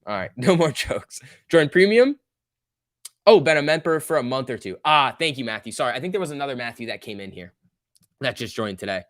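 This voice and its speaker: Bright-voiced young man livestreaming. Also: medium pitch, sing-song, a little fast, dry recording, studio quality. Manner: informal, joking, bantering with viewers. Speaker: livestream host. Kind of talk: casual livestream chat about stock trading, reading out and answering viewer comments.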